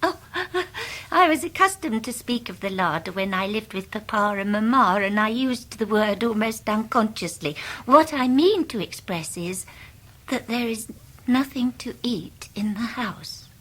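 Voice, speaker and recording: An actress playing a faded, middle-aged British gentlewoman, anxious and confiding, with a breathy voice, in a radio drama. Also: fairly high pitch, animated, a little slow. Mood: plaintive, genteel. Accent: British English. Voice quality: husky, breathy. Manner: genteel, tremulous, confiding. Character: faded genteel wife, anxious, self-dramatising, proudly well-bred.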